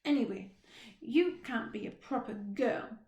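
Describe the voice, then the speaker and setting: A woman voicing a timid but touchy baby barn owl boy who speaks slowly and carefully, in a roomy, echoey room. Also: a little high, a little mellow, lo-fi sound, natural voice. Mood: prim. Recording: roomy echo.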